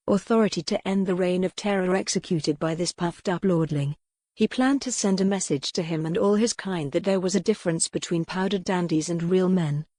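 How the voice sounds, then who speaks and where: A woman's voice: on the low side, warm tone, no room sound. A woman reading a historical romance novel as a scripted, dramatic audiobook narration.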